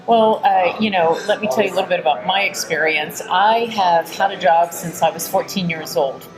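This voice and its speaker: A woman's voice: lowish voice, moderate pace, music in the background, rough recording. A middle-aged woman from Texas giving earnest, reflective answers as an interview guest. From Texas, United States. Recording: busy crowd chatter behind. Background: crowd murmur.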